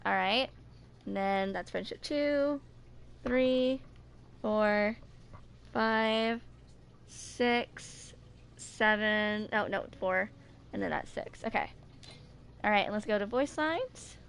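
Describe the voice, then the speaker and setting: A woman in her twenties reading game lore aloud and commenting casually, informal and somewhat halting as she goes. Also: mid-range voice, vocal fry, slight background noise. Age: 20s. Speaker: gaming video creator.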